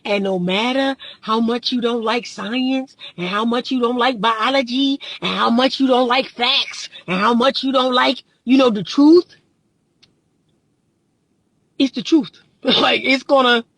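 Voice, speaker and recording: A woman's voice: on the high side, a little slow, fairly bright tone, no room sound, rough recording. A woman in her thirties, a relationship coach, talking bluntly and casually from her car, reading viewer comments, laughing often. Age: 30s.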